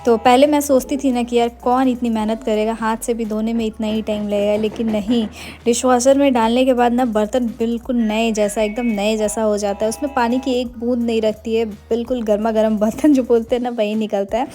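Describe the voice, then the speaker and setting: A woman's voice: on the high side, steady tone, brisk, mellow, soft background music. A young adult woman narrating a casual daily-life vlog, chatty and meandering, mixing English words into her Hindi. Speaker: vlogger.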